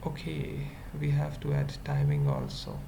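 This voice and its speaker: A coding tutorial presenter thinking aloud in short, halting fragments during an unscripted screencast. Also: mid-range voice, very animated, creaky. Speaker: web development tutorial presenter. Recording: noisy mic, keyboard typing. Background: computer keyboard typing.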